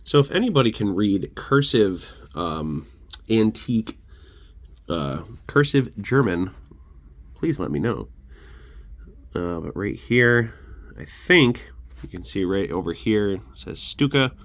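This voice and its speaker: A man's voice: on the low side, very animated, takes it slowly, pauses often. A man with a congested, sick voice giving a casual, rambling show-and-tell as host of a collection channel.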